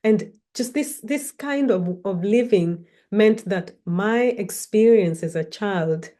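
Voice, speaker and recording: A woman from Kenya speaks in a measured, reflective way, introducing herself as a researcher and storyteller in a personal talk. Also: mid-range voice, warm tone. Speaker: academic researcher and guest speaker.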